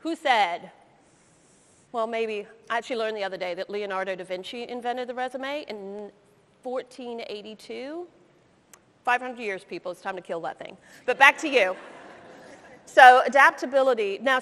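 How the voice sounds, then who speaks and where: Woman coaching someone on stage, teasing and blunt, kinda high voice, big hall echo. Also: normal speed, a bit bright. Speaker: career coach, workshop leader. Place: conference stage with audience. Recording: long hall reverb.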